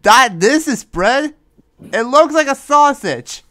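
A man's voice: extremely high pitch, animated, slow, sharp tone. A man joking casually while gaming, in a playful, rambling way.

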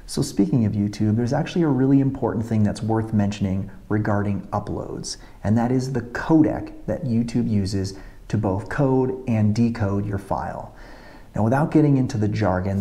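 A man's voice: fairly low pitch, warm tone. A man in a video tutorial, explaining camera and export settings step by step in a methodical way, filming himself on a tripod camera. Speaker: motovlogger. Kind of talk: technical tutorial talk to camera.

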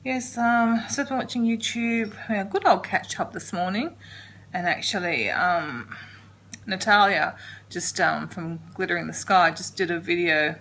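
An Australian woman in her forties chatting through a daily home vlog, rambling with soft ums and sounding a little congested. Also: lowish voice, measured pace, a little hiss or hum. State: congested, getting a cold. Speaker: vlogger. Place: living room couch at home. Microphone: handheld camera held close.

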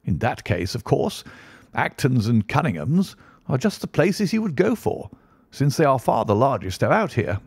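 A man voicing a hearty, unflappable country colonel with bluff, old-fashioned gentlemanly talk in an audiobook story. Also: mid-range voice, very animated, normal speed, slightly rough, dry recording, clean studio sound, pitched above the performer's own voice. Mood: coolly concerned. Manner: bluff, old-fashioned upper-class phrasing.

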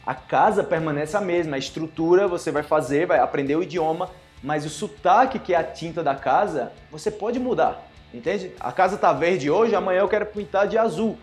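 A young man from Northeast Brazil with a Northeastern Brazilian accent, giving an animated Portuguese lesson to camera and acting out little dialogues. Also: fairly high pitch, a little fast, soft background music. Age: young adult. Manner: animated, gestures a lot, acts out dialogues. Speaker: Portuguese teacher. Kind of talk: online language lesson to camera. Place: small indoor room with plain wall.

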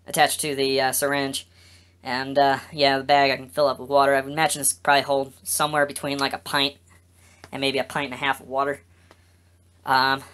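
A woman's voice: extremely low pitch, fairly bright tone, clear, resonant tone. A woman giving a casual, off-the-cuff walkthrough of gear in a matter-of-fact, explanatory way. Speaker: vlogger.

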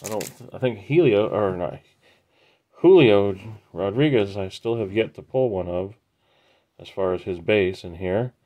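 A man hosting a trading card break, casually naming each card as he opens the packs in an unhurried, matter-of-fact way. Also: lowish voice, warm tone. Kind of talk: casual pack-opening commentary.

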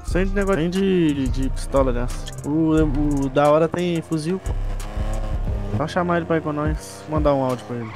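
A Brazilian man in casual, slangy, excitable gaming chat in a role-play game. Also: fairly high pitch, normal speed, soft background music.

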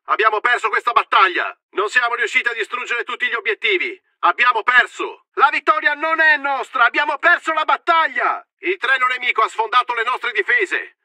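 A fervent Italian army officer in a war video game. His voice is very high-pitched and piercingly tense, with a commanding, urgent delivery. The sound is dry and close, built for battle announcements. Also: animated, brisk, few pauses, bright, forceful tone, dry recording, exaggerated voice. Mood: grim, urgent. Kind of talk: shouted military game announcements.

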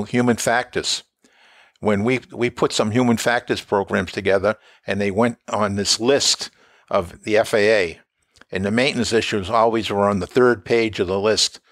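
Measured older man with a low voice. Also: fairly bright tone, no echo, clean studio sound. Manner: measured, explanatory storytelling. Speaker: podcast co-host, former safety board member. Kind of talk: conversational podcast discussion.

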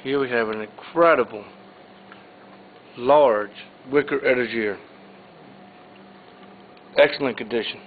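Man listing auction items, tinny audio. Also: medium pitch. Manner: plain, unhurried item-by-item listing. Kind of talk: informal walkthrough narration. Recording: tinny phone-like audio, faint hiss.

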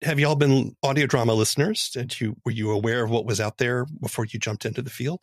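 A man's voice: mid-range voice, fairly even intonation, a little fast, no room sound, studio quality. A man hosting a podcast interview in a casual, conversational style.

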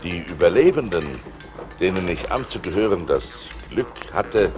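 An actor playing a seafarer who recounts perilous adventures theatrically and deliberately, in an audio play transferred from vinyl. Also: male voice, deep voice, a little hiss or hum, heavily acted. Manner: theatrical, deliberate, swelling with suspense. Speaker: narrator. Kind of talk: dramatic storytelling in an audio play.

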